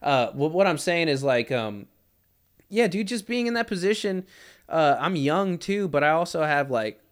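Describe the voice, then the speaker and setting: A man in his twenties in casual podcast chat, animated and self-mocking, swearing and saying "dude" a lot. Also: on the high side, moderate pace, clean tone. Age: 20s.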